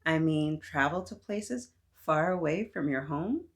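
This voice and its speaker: An exuberant, worldly auntie from a picture book, as a woman reader puts her on. She proclaims things theatrically, with a creaky edge to her voice and an eccentric streak. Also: low voice, expressive, measured pace. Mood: warmly inviting. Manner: theatrical, exclaiming.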